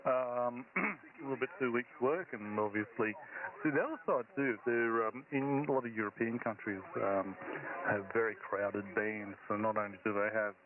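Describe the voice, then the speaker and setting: A man talking informally and technically as a radio ham over a radio link, halting as he thinks aloud. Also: medium pitch, crowd noise. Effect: shortwave radio transmission. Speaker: amateur radio operator. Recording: thin narrowband radio audio, clear static.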